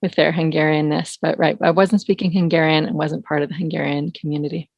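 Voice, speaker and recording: Woman, podcast guest, lowish voice, dry sound, thoughtful, explains with fillers. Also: little pitch movement, quick pace, creaky, darkish tone, dry recording, highs cut off. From San Francisco, USA. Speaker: guest, folk singing teacher. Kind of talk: conversational podcast interview.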